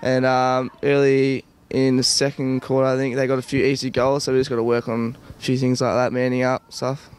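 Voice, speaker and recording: A young Australian man answers interview questions in a clear, smooth voice. His pitch stays fairly flat, and his wording is plain and halting. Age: young adult. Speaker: junior Australian rules footballer.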